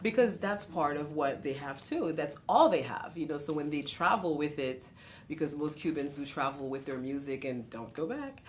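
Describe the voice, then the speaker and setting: Low-pitched haitian woman musician, chatty interview, lots of "you know", acts out what people say, faint hum behind. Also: normal speed. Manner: animated, acts out quoted voices, frequent "you know". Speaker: musician and guest. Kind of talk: conversational interview.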